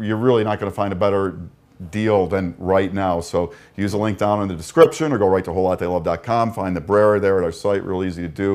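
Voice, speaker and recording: Easygoing low-voiced man pitching a deal. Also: a little mellow. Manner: easygoing, laughs often, pushes the sale. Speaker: co-host of live product stream. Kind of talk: casual sales chat on a live stream.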